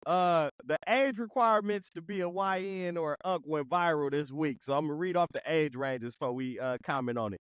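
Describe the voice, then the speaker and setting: A man's voice: on the high side, a little fast, hardly any pauses, smooth, no room sound. A middle-aged man hosting a casual podcast chat, easygoing, laughing often and turning earnest at times. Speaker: podcast host.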